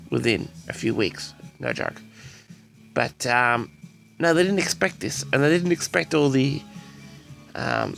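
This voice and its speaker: A man's voice: mid-range voice, creaky, fairly bright tone. A man hosting a personal audio log, rambling casually and hesitantly, often repeating himself as he addresses his listeners. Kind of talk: rambling casual monologue to audience.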